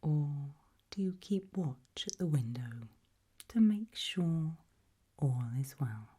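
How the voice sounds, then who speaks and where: A British woman whispering an ASMR roleplay in a breathy voice, putting slow, deliberate questions with long pauses. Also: sits low, lively intonation, warm tone.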